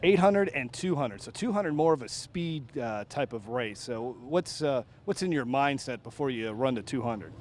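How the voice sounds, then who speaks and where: A man interviewing people on site for a local broadcast outdoors at a youth track meet, upbeat and quick with follow-up questions. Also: medium pitch, lively intonation, a little hiss or hum. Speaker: sports event interviewer.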